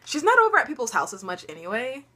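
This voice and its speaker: A teenage girl chatting on camera at home, her voice bright and forward. She tells stories in a loose, chatty way, laughing often and filling gaps with ums. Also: a little high, huge pitch swings, quick pace. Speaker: vlogger. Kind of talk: casual storytelling chat.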